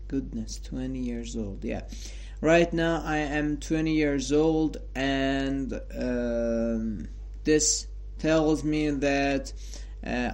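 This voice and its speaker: A man in his twenties hosting a solo talk about a quote, speaking in a halting, repetitive way with many fillers and restarts. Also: mid-range voice, a little mellow. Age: 20s. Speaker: host of a quote podcast. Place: sitting at home in front of a mirror.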